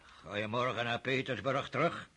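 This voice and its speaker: An actor playing a stern, mocking older aristocratic father in a radio drama, with a husky voice and scornful laughs. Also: mid-range voice, steady tone, moderate pace, sharp tone.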